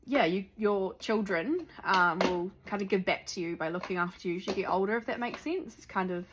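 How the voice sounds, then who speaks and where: Young kiwi woman yakking to camera, mid pitch, lots of um and like, bit of faint hiss behind. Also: a little fast. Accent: New Zealand. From New Zealand. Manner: chatty, lots of ums and likes. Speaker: vlogger. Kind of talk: sit-down chat to camera.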